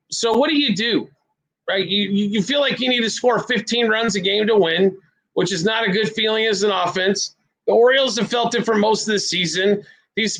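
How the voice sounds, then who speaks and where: A man's voice: high-pitched, pushed and bright, rough recording. A man from the American Midwest hosting a sports betting show, rambling bluntly through picks with self-deprecating asides. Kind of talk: casual sports betting talk.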